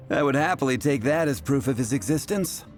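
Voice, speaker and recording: Game bartender, male actor: mid-pitched, easygoing, wry and chatty storyteller, soft music behind. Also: a little slow, close to an everyday voice. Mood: wry, amused. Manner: relaxed, wry, conversational storytelling. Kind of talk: video game character acting.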